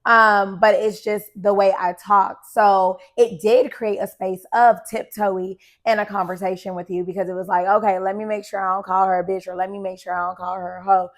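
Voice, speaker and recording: A young adult woman with a raspy voice, co-hosting a podcast in casual, blunt chat. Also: medium pitch, level tone, moderate pace, hardly any pauses. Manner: blunt, laughing, unapologetic. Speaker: podcast co-host.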